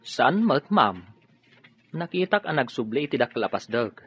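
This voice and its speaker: A man's voice: mid-range voice, sing-song, normal speed, a bit bright, soft background music. A man playing a deferential, gossipy employee talking with his lady boss in a radio drama. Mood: helpful, speculating. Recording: dull lo-fi radio sound.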